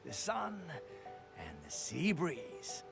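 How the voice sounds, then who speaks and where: A man voicing a sleepy, laid-back loner soldier in a video game, speaking in a drowsy, halting way. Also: on the high side, huge pitch swings, creaky, exaggerated voice. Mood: lazy, unbothered. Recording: loud game music behind.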